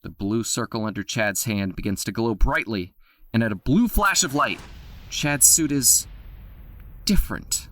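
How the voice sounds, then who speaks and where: A man narrating an audio drama with a steady, scripted storytelling delivery. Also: medium pitch, a little slow, dry recording.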